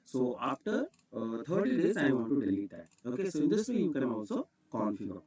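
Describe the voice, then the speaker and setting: Distant-miked Indian-accented man teaching software. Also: mid-range voice, sing-song, brisk, breathy edge. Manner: instructional, repeats "okay" as a check. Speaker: tutorial presenter. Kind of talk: step-by-step software screencast tutorial.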